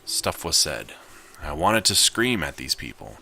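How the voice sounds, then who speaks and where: A man's voice: rumbling low, very animated, unhurried, gravelly, bright, forceful tone, soft background music. A man reading a visual novel aloud as he plays it, with casual commentary. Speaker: gamer narrating his gameplay.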